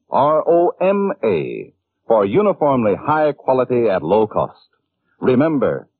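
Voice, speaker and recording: A man with an American accent announcing a 1940s radio commercial in a polished, emphatic sales delivery. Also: medium pitch. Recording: muffled tinny vintage radio recording.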